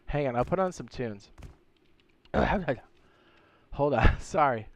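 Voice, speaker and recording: A man streaming a game, chatting casually and reading viewer comments, hoarse with a sore throat and losing his voice, often clearing his throat. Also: a little high, expressive, very slow, no room sound. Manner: laid-back, slangy, frequent throat clearing.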